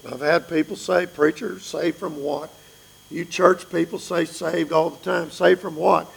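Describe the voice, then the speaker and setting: A man in his fifties with a raspy voice, preaching an earnest sermon that pleads with his listeners. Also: a little high, flat delivery, measured pace, fairly bright tone, low-quality recording.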